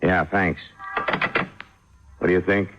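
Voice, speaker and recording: An actor playing a weary, gruff state police captain in a radio drama, terse and businesslike. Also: male voice, low-pitched, steady tone, takes it slowly, over music. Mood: brisk, commanding. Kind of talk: 1950s radio drama acting. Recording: dull, faintly noisy old radio recording.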